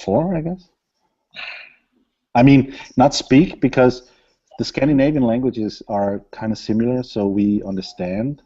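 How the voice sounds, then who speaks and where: A man's voice: medium pitch, measured pace, lo-fi sound. A man, a music producer, chatting casually in an interview and rambling with lots of fillers. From Denmark. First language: Danish. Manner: relaxed, rambling, many fillers and laughs. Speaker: electronic music producer, guest.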